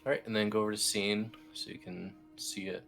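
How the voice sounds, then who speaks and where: A man with a low voice guides someone through software one click at a time. His delivery is informal and a bit hesitant, like a colleague instructing over a screen. Faint music plays underneath. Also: fairly even intonation, a little fast. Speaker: team lead explaining software steps. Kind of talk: casual step-by-step screen tutorial.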